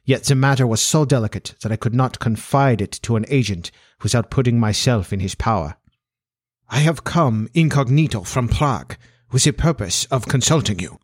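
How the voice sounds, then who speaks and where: A man's voice: mid-range voice, moderate pace, no echo, studio quality, close to an everyday voice. A man voicing a large, haughty and agitated Bohemian nobleman in a storybook, speaking in a pompous, formal way. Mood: earnest, formal.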